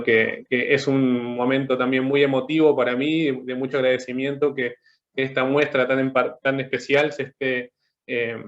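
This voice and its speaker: A man, an artist speaking on a panel over a video call, thoughtful and halting as he searches for words. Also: medium pitch, dull audio. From Uruguay. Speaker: artist guest. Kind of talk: panel discussion.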